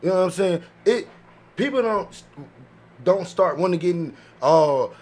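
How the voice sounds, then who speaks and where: A man's voice: high voice, lively intonation, normal speed, a little mellow. A man on a discussion panel chatting casually, slangy and anecdotal, with some swearing. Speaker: podcast panelist. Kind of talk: casual chat.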